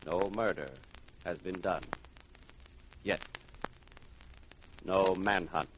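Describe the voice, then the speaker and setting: A man announcing an old radio drama in a portentous style, halting for suspense. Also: deep voice, fairly even intonation. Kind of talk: dramatic scripted 1950s-style radio introduction. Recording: dull, faintly noisy vintage radio recording.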